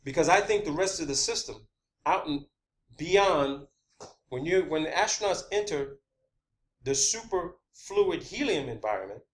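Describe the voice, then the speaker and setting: A man presenting an unscripted online monologue, insistent and emphatic, swearing often and repeating himself to drive points home. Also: on the high side. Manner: rhetorical questions and repetition for emphasis. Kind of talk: unscripted conspiracy theory monologue.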